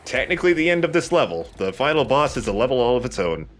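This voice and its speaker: A man giving casual, joking let's play commentary, wry and quick to swear. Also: medium pitch, very animated, a bit bright, faint music behind.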